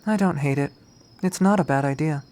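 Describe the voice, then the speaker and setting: A man's voice: a little high, a little fast, darkish tone, voice pushed up, close to an everyday voice. A cool and guarded young woman from a visual novel, voiced by a man reading it aloud. She speaks in curt, formal phrases with dry authority and keeps her reserve. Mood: guarded, businesslike.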